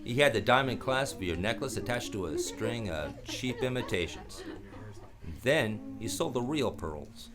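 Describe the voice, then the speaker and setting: An actor playing a world-weary, laconic private detective in 1940s radio noir style. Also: male voice, mid-range voice, lively intonation, a little slow, close to an everyday voice. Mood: low-key, guarded. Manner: laconic, clipped, understated. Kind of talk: 1940s radio noir acting, first-person narration. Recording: radio play with guitar music behind.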